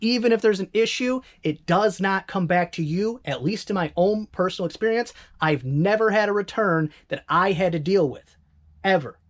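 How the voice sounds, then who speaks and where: A reseller from Northern Michigan with a Northern Michigan accent, chatting casually on a vlog in a rambling way, correcting themselves with quick asides. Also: mid-range voice, animated. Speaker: eBay reseller vlogger.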